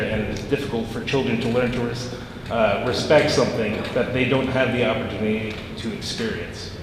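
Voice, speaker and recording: Man at council public comment, measured and earnest, roomy hall with hiss. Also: medium pitch. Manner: earnest, measured, read aloud. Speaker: resident speaking at public comment. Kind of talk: reading a prepared statement. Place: large council chamber. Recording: echoey hall with noise.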